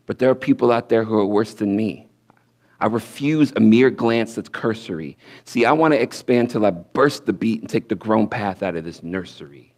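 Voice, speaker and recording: A man in his thirties with a lowish voice delivers rhymed spoken word poetry from a church stage. His cadence is musical and sing-song, rising with force and then sinking almost to a whisper. He is picked up close by a headset mic. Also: moderate pace, darkish tone. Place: indoor church stage with curtains.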